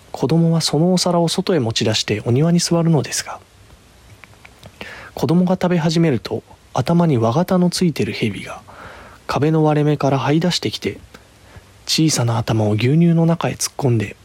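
Husky-voiced male storyteller. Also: a little high, a little mellow, dry recording. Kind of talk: scripted storytelling narration.

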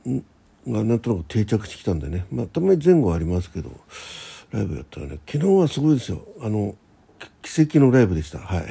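A man hosting a casual solo radio chat, talking in a halting, rambling way and often correcting himself. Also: fairly low pitch, creaky, dark tone, breathy edge. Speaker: internet radio host.

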